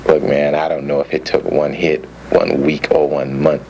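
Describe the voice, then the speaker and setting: A man's voice: rumbling low, level tone, normal speed, gravelly, darkish tone, highs cut off. A man playing a streetwise young former crack addict, giving an emphatic, colloquial confession in an educational film. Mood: regretful. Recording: noisy old educational film soundtrack.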